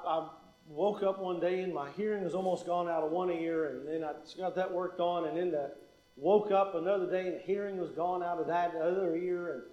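A man preaching a sermon in earnest, quick with asides and repeating key phrases. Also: sits high, echoey room, low-quality recording. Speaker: pastor.

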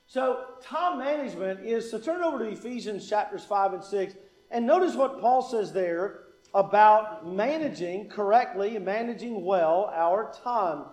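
A man with a high voice preaches earnestly, repeating his key phrases for weight and darting into quick asides. The sound is roomy, with a clear echo around him, as in a church hall. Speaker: pastor. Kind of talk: sermon.

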